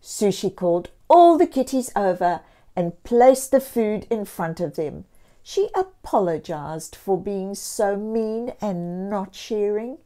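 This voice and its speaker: A woman storyteller reading a picture book aloud to young children, expressively and deliberately, with encouraging asides. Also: mid-range voice, expressive, warm tone. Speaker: children's storyteller and channel host.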